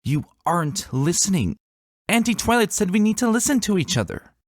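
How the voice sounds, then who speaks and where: A man's voice: high voice, very animated, moderate pace, no echo, studio quality, pitched above the performer's own voice. A man voicing an eager, argumentative little pony princess, childlike and earnest, in a dramatic story reading. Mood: sullen, insistent. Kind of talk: dramatic fan-fiction reading.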